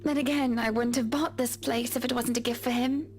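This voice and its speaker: A gentle young wife in a voiced game, played by a woman, polite and softly regretful. Her voice sits high, with faint music in the background. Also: steady tone, a little fast, lightly acted. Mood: wistful. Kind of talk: voiced video game dialogue.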